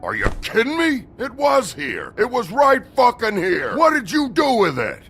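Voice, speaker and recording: An actor playing a hot-headed, aggrieved male brawler in a video game, combative and quick to swear. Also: very high voice, wildly swooping pitch, vocal fry, full character voice. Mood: furious. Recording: loud noise behind game dialogue.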